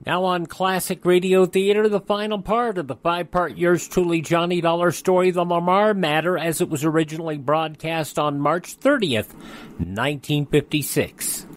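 A man's voice: on the high side, moderate pace. A man reading a scripted program introduction as a radio host.

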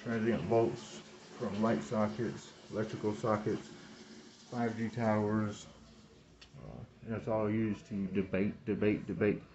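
Low-voiced man, dull lo-fi phone recording. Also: level tone, a little mellow, a little hiss or hum. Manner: halting, repeats words and phrases. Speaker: vlogger talking to camera. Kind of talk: rambling personal monologue. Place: small room indoors. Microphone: phone at arm's length, speaking through cloth mask.

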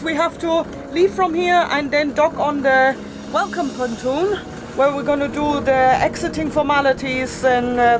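A woman in her thirties hosting a sailing vlog, talking casually to a camera held at arm's length and laughing often. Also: high-pitched. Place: outdoor market and sailboat.

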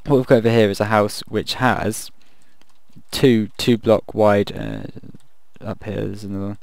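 A low, gravelly male voice, croaky late at night, rambles and thinks aloud as he builds in a video game. The sound is close and dry, with no room around it, and he wanders off mid-sentence and pokes fun at his own work. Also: expressive, measured pace, darkish tone, no echo. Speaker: gaming video creator. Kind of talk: casual commentary over a building game.

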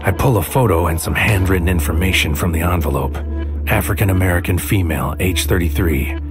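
A man playing a brooding, paranoid hitman, delivering a deliberate, poetic inner monologue in a surreal audio drama. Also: sits low, close to an everyday voice. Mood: brooding, haunted. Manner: deliberate, poetic, often dropping into whispers. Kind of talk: scripted noir-like audio drama narration. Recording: music under the voice. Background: music.

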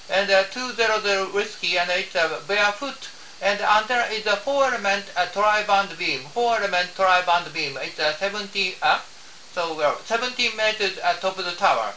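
A man from Japan with a Japanese accent, making a ham radio contact in halting, repetitive English and spelling out call signs. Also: sits high, sharp tone, far from the mic. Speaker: amateur radio operator. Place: small home radio room. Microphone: desk radio microphone, camera picking up the room. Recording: roomy, off-mic, with faint hiss.

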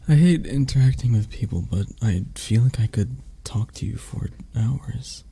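A man playing an arrogant, prickly CEO boss who turns vulnerable and flirty, in an intimate, halting ASMR roleplay that often drops toward a whisper. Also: mid-range voice, vocal fry, dark tone, husky, heavily acted.

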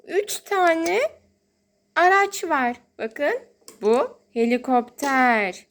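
A woman's voice: high voice, expressive, very slow, fairly bright tone. A woman hosting toy play for young children as a mother, talking in a sing-song, exclaiming way and drawing out her words.

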